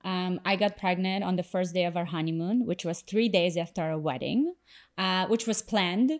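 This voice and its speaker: Woman, 30s, podcast guest, lowish voice, wry, amused. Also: moderate pace. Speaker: guest, influencer. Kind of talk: casual podcast chat.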